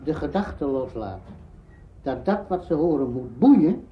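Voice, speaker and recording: Male interviewee, deliberate, animated pitch with a slow pace, dull archival sound.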